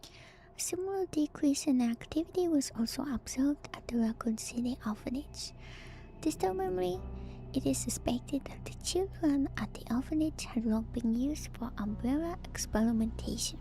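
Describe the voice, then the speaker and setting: A woman streamer whispering softly and breathily in an ASMR style, musing gently and hesitantly through game lore commentary close to the microphone. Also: high voice, lively intonation, moderate pace, rough recording. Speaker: streamer, VTuber host. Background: music.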